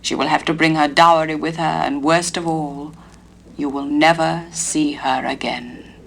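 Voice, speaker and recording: A tall, splendid old man of the lake, as a woman storyteller voices him speaks with solemn weight. His warnings press harder on the key words, in a lowish, measured voice. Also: fairly even intonation, vocal fry, slight background noise. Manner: solemn, rising to urgent warnings.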